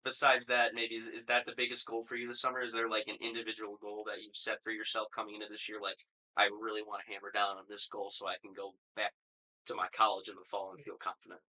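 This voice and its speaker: A man's voice: on the low side, monotone, fast, bright, forceful tone, rough recording. A young man hosting a casual sports podcast interview, seated in a small room. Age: young adult.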